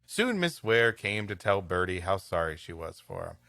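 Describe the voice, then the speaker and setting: A man reading a storybook tale aloud as a podcast host, in expressive narration. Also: deep voice, normal speed, gravelly, no echo, soft background music.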